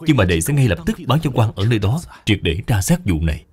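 A man's voice: fairly low pitch, sing-song, fast, slightly rough. A man voicing a devoted, anxious official and father in a dubbed cartoon, speaking with earnest concern. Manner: earnest, solicitous.

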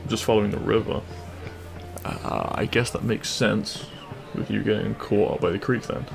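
Man acting a gravelly, flirtatious bandit prince. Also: fairly low pitch, moderate pace, music in the background. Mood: tender, flirtatious.

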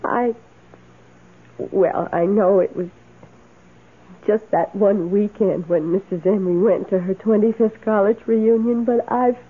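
An actress playing a meek, lonely, adoring maid in a radio drama, speaking in a timid, halting, wistful way. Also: female voice, mid-range voice, fairly even intonation, breathy edge. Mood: flustered, adoring. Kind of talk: old radio drama acting. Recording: tinny, hissy old radio recording.

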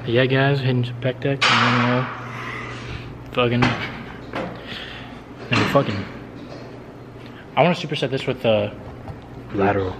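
A man's voice: mid-range voice, steady tone, measured pace, pauses often. A young man chatting casually to camera at a gym, with laid-back, profane bro slang. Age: young adult. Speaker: vlogger. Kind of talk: casual gym vlog chat. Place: indoor commercial gym. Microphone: handheld camera, sometimes set back. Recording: clearly noisy camera audio in gym.

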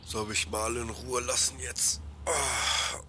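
Guy acting as a laid-back work-shy dude mumbling to himself, real slow, noisy background. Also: mid-range voice, steady tone, natural voice. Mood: wistful, relaxed. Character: Plex, easygoing work-shy man musing to himself. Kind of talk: German audio play monologue.

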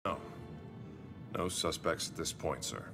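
An actor playing a driven, terse and commanding police lieutenant in a video game. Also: male voice, a bass voice, measured pace, gravelly, in a large hall, over music, heavily acted. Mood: defensive, angry. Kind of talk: dramatic game voice acting.

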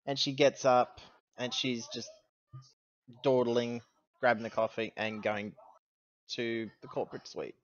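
A man with a mid-pitched voice takes part in a tabletop game as a player. He chats casually and describes his character's actions. The recording is dry and a little muffled. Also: level tone, unhurried, pauses often, dry recording.

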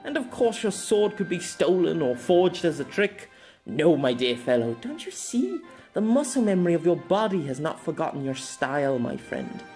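A confident young lady knight from an audio fantasy story, acted out by a male narrator. The voice is lifted high and lilting, soft yet commanding, with playful, grandly old-fashioned phrasing over music. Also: big pitch swings, normal speed. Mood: teasing, confident. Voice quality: soft-spoken. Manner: commanding, archaic flourishes.

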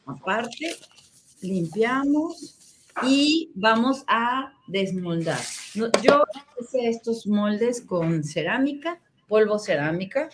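A woman's voice: fairly high pitch, slow, noisy background. A Mexican woman demonstrating a craft project, explaining each step as she works. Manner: explains step by step, warm and relaxed.

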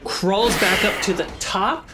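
A woman narrating a tabletop fantasy game as game master, telling the story with dramatic pauses. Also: medium pitch. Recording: dramatic music under the voice. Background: background score.

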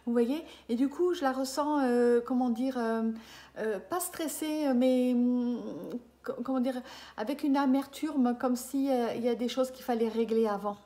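A woman's voice: fairly high pitch, a little slow, dark tone. A woman in her fifties, a medium giving a reading over webcam, hesitant with many fillers and checking in with her listener. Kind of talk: live reading session with a client. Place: small room indoors. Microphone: webcam at desk.

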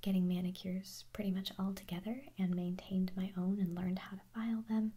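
A young woman whispering softly in a chatty, rambling way as she answers viewer questions for an ASMR video. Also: medium pitch, level tone, fairly quick, husky. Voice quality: soft unvoiced whisper. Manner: chatty, rambling, self-correcting, giggly.

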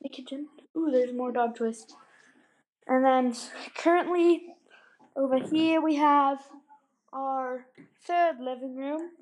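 A woman's voice: sits high, slow, pauses often, a little mellow, rough recording. A young girl filming a house tour on a handheld phone indoors at night, rambling and playful with sudden exclamations. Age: child. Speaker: vlogger. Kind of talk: house tour vlog, casual chat. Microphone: handheld phone camera.

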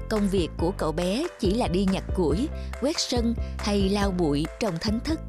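A woman narrator reading a scripted fairy tale as storytelling. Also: mid-range voice. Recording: music behind the voice.

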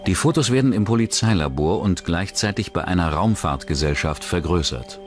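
A man narrating a scripted true-crime documentary in a measured, dramatic manner. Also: deep voice, expressive, music in the background.

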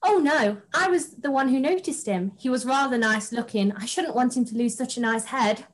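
A woman's voice: high voice, moderate pace, lightly acted. A young woman playing a coy but defiant princess in an amateur pantomime read-through, prim and declaiming. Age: young adult. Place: indoors at home, on a video call.

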